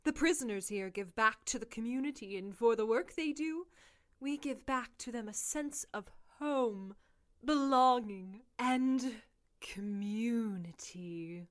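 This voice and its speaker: A woman's voice: a little high, takes it slowly. A woman playing a genteel, theatrical guide of a sinister prison circus in an audio drama, with a grandly formal delivery. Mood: smoothly gracious.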